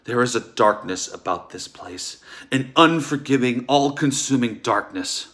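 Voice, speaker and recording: An actor playing a bitter, wronged husband in a theatrical table read, speaking in an accusatory, ominous and emphatic way. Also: male voice, mid-range voice, lively intonation, measured pace, fairly bright tone. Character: bitter, wronged husband warning about a sinister doctor.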